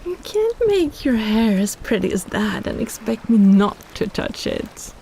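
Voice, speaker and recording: A woman's voice: a little high, big pitch swings, measured pace, creaky, dark tone, a little hiss or hum, full character voice, rough recording. A woman playing a possessive, teasing kraken in an intimate roleplay audio drama, coaxing and condescending as she schemes.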